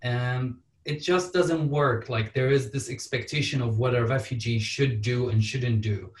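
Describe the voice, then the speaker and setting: A man's voice: medium pitch, measured pace, recorded from a distance. A man from Syria, a panelist answering questions earnestly with wry asides. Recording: roomy sound from off mic.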